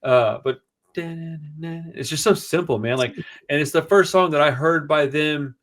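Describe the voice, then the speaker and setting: A man with a booming voice co-hosting a casual music review show, talking in an animated, slangy, rambling way. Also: a little slow. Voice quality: booming, projecting voice. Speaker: podcast co-host.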